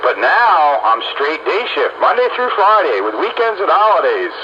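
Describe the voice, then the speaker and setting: A man's voice: fairly high pitch, sing-song, moderate pace. A man in casual conversation over a two-way radio link. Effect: two-way radio transmission. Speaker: amateur radio operator. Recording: dull, noisy two-way radio.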